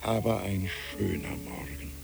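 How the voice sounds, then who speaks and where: An actor playing a gentle, fearful, whimsical middle-aged village pastor in a 1960s radio play, musing and deliberately phrased. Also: male voice, deep voice, animated, creaky, loud music behind the voice, exaggerated voice. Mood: lively, inviting. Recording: hissy 1960s radio recording.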